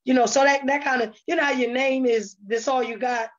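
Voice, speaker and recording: Higher-voiced woman, hesitant, on muffled recording. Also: fairly even intonation, fairly quick. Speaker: stage play cast member being interviewed. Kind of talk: casual conversational talk. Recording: dull, lo-fi sound.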